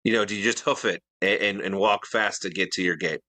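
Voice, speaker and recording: A man co-hosts a casual podcast, easygoing and a little rambling as he tosses out questions. His lowish voice has a bright, forceful edge. The sound is close and completely dry. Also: steady tone, a little fast, no echo. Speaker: podcast co-host. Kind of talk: casual chat.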